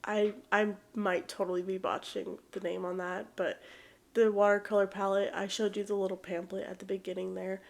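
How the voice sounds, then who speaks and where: A woman's voice: medium pitch, little pitch movement, moderate pace, vocal fry. A young woman hosting an art vlog, chatting casually while she paints, sounding a little congested from allergies, rambling and self-deprecating. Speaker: art channel host.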